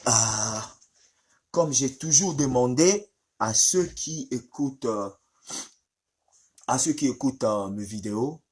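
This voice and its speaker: A man with a head cold, hosting a casual live stream in a halting way with many fillers. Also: mid-range voice, expressive, darkish tone, husky.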